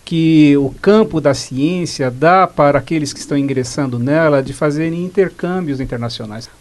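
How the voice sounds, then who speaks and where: Measured male host, fairly high voice. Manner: measured, didactic questioning. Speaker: program host. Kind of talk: educational interview.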